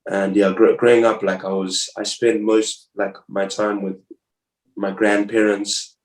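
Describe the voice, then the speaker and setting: A man's voice: lowish voice, little pitch movement, measured pace. A young man from Zimbabwe, a guest chatting casually and laughing often, repeating his phrases. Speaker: guest, rugby player. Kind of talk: casual conversation. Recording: echoey room, distant mic.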